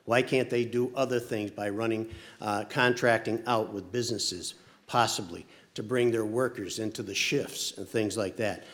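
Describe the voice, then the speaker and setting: A man's voice: fairly low pitch, steady tone, normal speed, fairly bright tone. A man making emphatic panel remarks, often repeating words as he starts a sentence.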